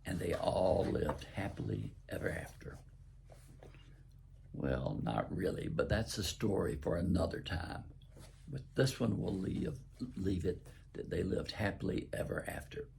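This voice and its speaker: An older man with a Southern US accent and a raspy voice, reading his own story aloud in a folksy, deliberate storytelling way. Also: deep voice, sing-song, darkish tone, slight background noise. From southern United States. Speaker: host and author reading own stories.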